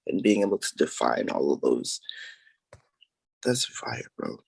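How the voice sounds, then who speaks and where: A man's voice: low-pitched, sing-song, measured pace, creaky, darkish tone, slightly rough, no room sound, low-quality recording. A man, a music artist, interviewing a podcast host in an enthusiastic, slangy way. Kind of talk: casual interview chat.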